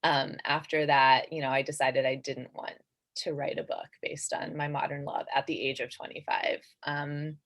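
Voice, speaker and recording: A woman with a notably low voice talks in casual conversation. She is an author appearing as a guest on a book panel. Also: little pitch movement, moderate pace. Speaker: debut author, event guest.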